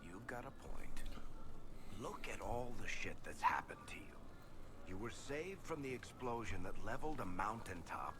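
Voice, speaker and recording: A man's voice: on the low side, big pitch swings, normal speed. The dry, sardonic male companion who grumbles beside you in a fantasy video game, noise stirring behind him. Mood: reluctant, wry. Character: wry, sardonic male crime-serial author and companion. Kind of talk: video game character dialogue.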